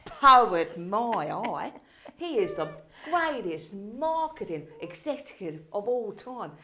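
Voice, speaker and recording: An actress in a comedy play, voicing a brisk, bossy director from heaven with an emphatic, theatrical manner. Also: female voice, medium pitch, big pitch swings, bright, forceful tone, slight background noise, exaggerated voice. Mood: impressed, emphatic. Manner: emphatic, theatrical, repeats words for effect. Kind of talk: comedy stage play acting.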